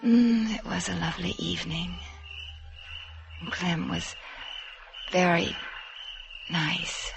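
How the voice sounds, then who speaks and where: Casting: coy young woman, early twenties, unhurried low voice, tender and hesitant, old radio drama. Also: creaky. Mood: wistful. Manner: coy, hesitant, softly reminiscing. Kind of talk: 1950s radio drama acting. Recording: noisy 1950s radio recording.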